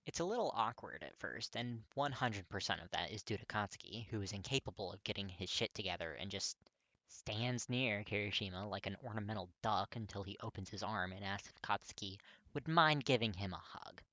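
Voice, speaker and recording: Bright, low-pitched man narrating. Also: lively intonation, a little fast, no echo, highs cut off. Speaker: audiobook-style fan fiction reader. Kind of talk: expressive scripted story narration.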